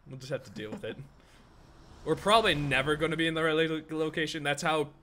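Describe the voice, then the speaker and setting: A man's voice: fairly high pitch, fast talker, sharp tone, slight background noise. A man in his twenties chatting casually and teasingly as he hosts a gameplay stream on a close microphone. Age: 20s. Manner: joking, teasing. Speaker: streamer, host. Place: indoor streaming setup, seated. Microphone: close boom-arm microphone.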